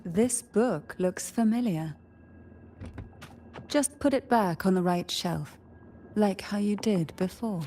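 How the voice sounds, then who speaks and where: An actress playing a mysterious woman who narrates a game story in a measured, enigmatic way. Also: medium pitch, dark tone, a little hiss or hum. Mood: calm, enigmatic.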